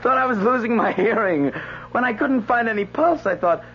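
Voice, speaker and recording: A man's voice: very high voice, lively intonation, normal speed, a bit bright, full character voice. An actor playing a nervous, flustered male doctor in a radio drama, jittery and stammering, repeating himself. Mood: rattled. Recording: dull, faintly noisy old radio broadcast.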